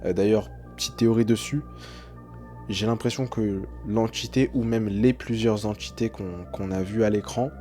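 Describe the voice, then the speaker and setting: Low, mellow-voiced man reacting casually. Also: steady tone, normal speed, faint music behind. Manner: hesitant, repeats words and phrases. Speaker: streamer reacting to horror videos. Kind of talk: casual reaction commentary in French.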